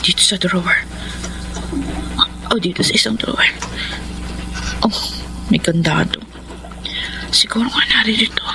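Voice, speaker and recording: A woman's voice: medium pitch, a little slow, pauses often, background noise, natural voice. An actress playing a guilt-ridden, troubled mother in a Filipino radio drama, with an emotional, confessional delivery. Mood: secretive, tense.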